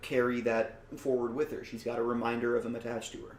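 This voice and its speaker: Roomy echo, mid-pitched male voice, early twenties, casual podcast discussion, earnest explaining, mild noise behind, some swearing. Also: level tone, fast. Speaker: podcast host. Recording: echoey room, faint background noise.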